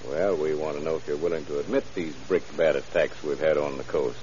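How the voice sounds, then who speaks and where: An actor playing a dry, unhurried, sardonic police lieutenant in an old radio crime drama. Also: male voice, extremely low pitch, fairly even intonation, a little mellow. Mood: cool. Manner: dry, unhurried, understated questioning. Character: unruffled, dryly sardonic male police lieutenant. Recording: muffled, hissy 1930s radio recording.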